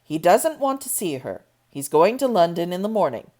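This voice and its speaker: A woman voicing a neat, thin old manservant with a husky voice and a curt manner. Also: on the low side, big pitch swings, moderate pace, warm tone.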